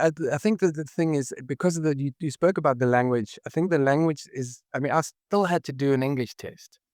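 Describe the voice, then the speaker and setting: Male, South African accent, medium pitch, clean resonant tone, podcast guest, reflective, halting conversational delivery. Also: dry recording, clean studio sound. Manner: halting, searching for words.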